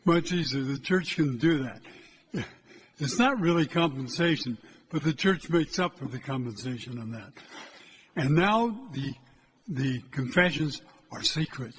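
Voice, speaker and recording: An older man, a priest, giving a loud, plain-spoken exhortation from the pulpit of a church. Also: lively intonation, measured pace, a little hiss or hum. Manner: loud, hard time keeping his voice low. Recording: echoey church from a distance.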